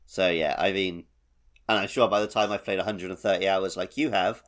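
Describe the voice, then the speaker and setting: Low-voiced man in casual chat. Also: fairly even intonation, brisk, fairly bright tone. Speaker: podcast co-host. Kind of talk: casual video game podcast chat.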